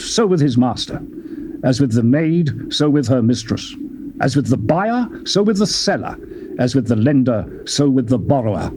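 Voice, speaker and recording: A man's voice: mid-range voice, noisy background. A man giving a solemn, declamatory dramatized reading of scripture, rising to fervent peaks. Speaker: Bible reader.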